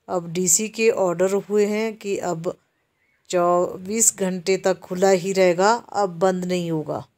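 A woman from Himachal Pradesh narrating a casual walking tour of a busy outdoor market, explaining the shops to viewers as she films while walking. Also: fairly low pitch. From Himachal Pradesh, India. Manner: slow, even-toned, explaining to viewers. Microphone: handheld camera while walking.

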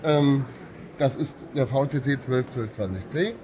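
Calm middle-aged male service technician. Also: medium pitch, measured pace. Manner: calm, technical, matter-of-fact explaining. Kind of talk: trade fair interview, explaining products. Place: busy exhibition hall booth. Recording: trade hall with clear crowd noise behind.

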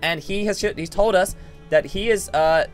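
A man improvising a game roleplay as a ghost character, talking in an urgent, excitable way and laughing a lot. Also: high-pitched, normal speed, faint music behind. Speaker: player voicing a ghost character.

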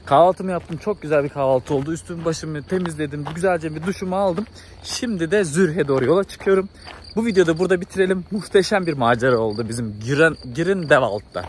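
Fast-talking young man, chatty. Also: a little high. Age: 20s. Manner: informal, chatty. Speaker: travel vlogger. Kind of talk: casual chat to camera. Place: outdoors on trails and in a village. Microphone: phone or action camera at arm's length. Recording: outdoor ambience and background noise.

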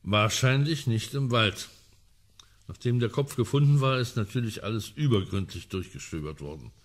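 A man's voice: mid-range voice, moderate pace, close to an everyday voice. An actor playing a well-informed male amateur sleuth in a radio crime drama, talking in a dry, precise, ironic way. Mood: matter-of-fact.

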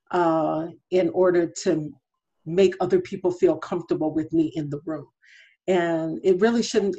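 A middle-aged woman with a low, husky voice speaks in a measured way, with many fillers. She is talking over a video call from home, and the audio comes through dull and muffled. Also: dark tone. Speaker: counselor on a panel. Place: room at home. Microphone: laptop webcam on a video call.

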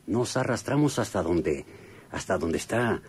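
Husky, animated northern Mexican rustic. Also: male voice, sits low, a little slow, full character voice. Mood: scheming, explaining. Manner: folksy, chuckling, exclamatory. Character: excited, scheming rustic northern Mexican treasure hunter. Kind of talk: old radio drama acting.

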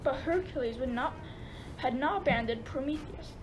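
High-voiced woman narrator, noisy recording. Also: normal speed. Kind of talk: scripted storytelling narration.

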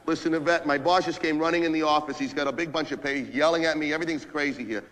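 A man's voice: on the high side, steady tone, fast, a bit bright, natural voice, poor audio. An actor playing a manipulative, foul-mouthed male office boss working the phone, badgering and repeating himself in a comic dramatic monologue. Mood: smooth, flirtatious. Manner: repetitive, badgering, swinging between ranting and smooth-talking.

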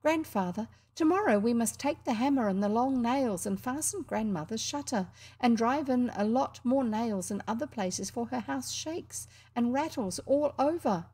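A woman's voice: on the high side, animated, moderate pace, a little mellow, breathy edge, pitched above the performer's own voice. A woman voicing an eager, trusting little girl who pleads earnestly. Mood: pleading.

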